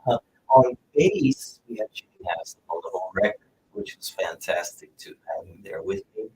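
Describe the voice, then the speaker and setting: A man's voice: on the low side, wildly swooping pitch, normal speed, creaky, dark tone, a little hiss or hum, rough recording. A man from Puerto Rico, a jazz guitarist chatting as a guest in an interview, easygoing and rambling. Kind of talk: casual interview chat.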